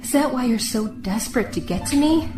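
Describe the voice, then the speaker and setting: Woman acting guarded prisoner, echoing, slightly high voice. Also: animated, brisk, close to an everyday voice. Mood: gentle teasing. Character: guarded, heartbroken female prisoner, aloof and fatalistic. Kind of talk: dramatic audio drama acting. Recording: roomy echo with music underneath.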